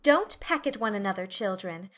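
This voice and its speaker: A woman's voice: medium pitch, expressive, normal speed, fairly bright tone, natural voice. A woman voicing a prim, motherly teenage eldest sister in an audiobook story, genteel and reproving in manner. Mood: lecturing. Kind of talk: audiobook character acting.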